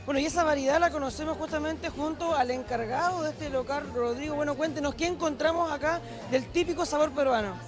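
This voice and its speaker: Chilean male TV reporter, high voice, quick persuasive patter, handheld mic, music behind. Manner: upbeat, persuasive, addresses viewers directly. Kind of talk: promotional TV fair walkthrough. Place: indoor craft fair hall. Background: background music.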